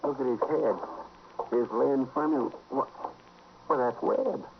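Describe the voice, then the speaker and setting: Folksy frontier deputy on noisy old radio. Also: male voice, mid-range voice, slow, vocal fry. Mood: concerned. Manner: folksy, respectful, earnest. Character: earnest, folksy, deferential frontier marshal's assistant, male. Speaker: supporting actor in a radio western. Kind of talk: 1950s radio western acting. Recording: muffled, noisy vintage 1950s radio recording.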